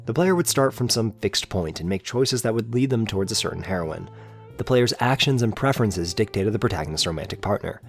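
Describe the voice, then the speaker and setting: A man's voice: lowish voice, lively intonation, brisk, soft background music. A man reading a scripted, analytical video essay narration in a formal, articulate way with emphatic openings to his phrases.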